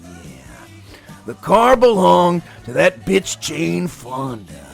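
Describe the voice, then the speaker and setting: A man voicing a hyped-up, boastful macho pro wrestler narrating an audio play, gravelly, with emphatic interjections. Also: sits high, wildly swooping pitch, takes it slowly, faint music behind, full character voice. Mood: bombastic, gleeful. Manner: punctuates sentences with emphatic interjections. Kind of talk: exaggerated wrestling-promo storytelling.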